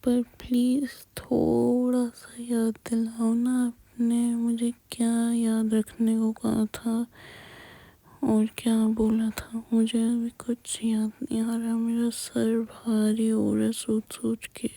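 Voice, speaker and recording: A woman whispering an intimate girlfriend roleplay, speaking softly and directly to the listener up close to the mic. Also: on the high side, completely flat delivery, takes it slowly, gravelly, dry recording.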